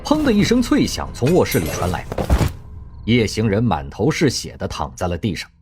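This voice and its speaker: A man narrating an audio drama with expressive storytelling. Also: lowish voice, normal speed. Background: faint music.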